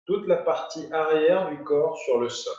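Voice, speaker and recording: A man teaching yoga nidra, guiding a meditation in short, unhurried phrases with pauses. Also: fairly high pitch, darkish tone. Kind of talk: guided meditation, spoken instructions. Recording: muffled, roomy, distant from the mic.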